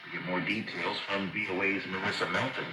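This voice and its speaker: A male radio anchor reads the news in a low, measured, formal voice. The broadcast comes over shortwave, buried in loud noise with a roomy echo around the words. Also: level tone. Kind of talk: scripted news bulletin reading. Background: loud radio static and noise.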